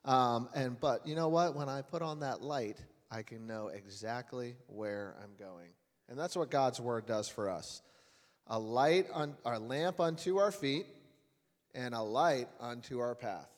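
A man's voice: mid-range voice, measured pace. A man leading a church service in prayer and announcements, earnest and plain-spoken with frequent uhs. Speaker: lay worship leader. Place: church sanctuary. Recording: long church-hall reverb with faint music.

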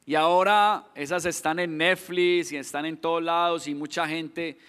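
A Colombian man in his thirties delivers an earnest sermon, his voice sitting high. He stumbles and repeats words, then pushes into pointed questions. The sound carries a long reverb from a church hall. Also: steady tone, normal speed, fairly bright tone, clear, resonant tone. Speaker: preacher.

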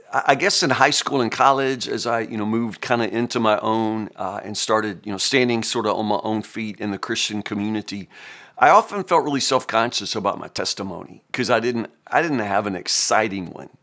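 A man's voice: medium pitch, a bit bright. A man, a pastor giving a casual, folksy Bible reflection full of "you know" and restarts. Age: 50s. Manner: folksy, conversational, many fillers and restarts.

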